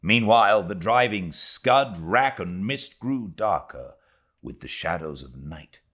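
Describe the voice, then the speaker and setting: A British man giving a dramatic reading of a literary audiobook, theatrical in delivery, moving between swelling intensity and hushed tones. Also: sits low, animated, slow. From Britain. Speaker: audiobook narrator.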